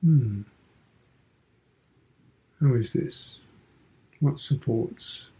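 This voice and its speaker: A man leads a guided meditation in a very slow, measured delivery. His voice is soft-edged and relaxed, at an average male pitch. He speaks contemplatively, leaving space between short phrases. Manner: contemplative, sparse short phrases with pauses, posing gentle questions. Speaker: meditation teacher, Buddhist monk.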